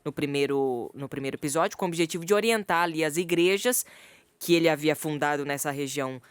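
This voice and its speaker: A woman's voice: low-pitched, fast, clear, resonant tone, no echo. A woman co-hosting a radio podcast, chatting casually about the Bible and laughing often. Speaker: podcast co-host. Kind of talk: casual Bible study chat. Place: radio studio.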